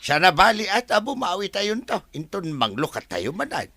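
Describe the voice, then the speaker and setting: An actor playing a deferential older male resort caretaker who pleads and bursts into shouts. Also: high voice, big pitch swings, moderate pace, dry recording, exaggerated voice. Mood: pleading.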